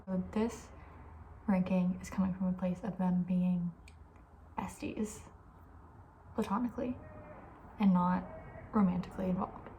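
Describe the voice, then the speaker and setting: A young woman with a soft, breathy voice, often near a whisper, chatting casually and with playful opinions in an ASMR style. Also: on the low side, fairly even intonation, measured pace, long pauses, a little hiss or hum, rough recording. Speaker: ASMR video creator.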